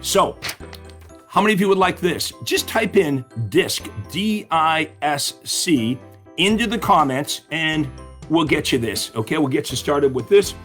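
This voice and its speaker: A man's voice: on the high side, lively intonation, unhurried, a bit bright, soft background music. A middle-aged man coaching real estate agents in an upbeat way, often asking the audience questions, while his voice is giving out. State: losing his voice. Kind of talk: webinar-style coaching talk.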